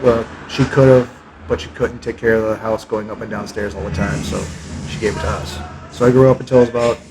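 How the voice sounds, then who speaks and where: A man's voice: medium pitch, background noise. A man in his forties from Texas chats on a podcast, telling his own story. His voice sits in the middle range. He is blunt and jokey and swears freely into the mic. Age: 40s. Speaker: podcast guest, soldier. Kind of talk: casual podcast chat.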